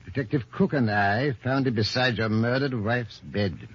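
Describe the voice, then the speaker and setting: An actor playing a shrewd, unflappable police inspector, questioning with dry authority in old radio detective style. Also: male voice, medium pitch, moderate pace, husky, close to an everyday voice. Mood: probing. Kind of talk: old-time radio detective drama acting. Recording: dull lo-fi vintage radio recording.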